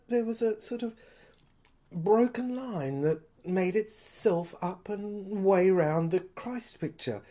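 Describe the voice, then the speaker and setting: Halting female narrator of an audio drama. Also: on the low side, mellow. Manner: halting, repeats phrases as if thinking aloud. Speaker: narrator of a serial audio play. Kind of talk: dramatic first-person narration.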